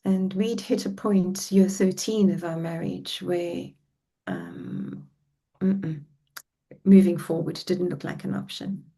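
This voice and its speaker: A woman's voice: lowish voice, animated, takes it slowly, creaky, mellow, husky. A middle-aged woman with a South African accent, telling her life story as a guest on a podcast in a reflective, heartfelt way. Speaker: relationship coach guest. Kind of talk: podcast interview.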